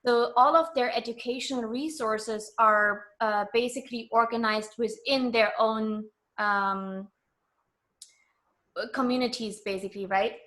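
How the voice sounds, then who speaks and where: A woman's voice: a little high, steady tone, a little slow, a bit bright. A woman giving conversational interview answers, explaining things in an earnest, informal way. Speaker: interview guest.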